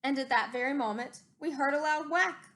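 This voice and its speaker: A woman reading a rhyming storybook aloud expressively, with deliberate dramatic emphasis. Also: sits high, a bit bright.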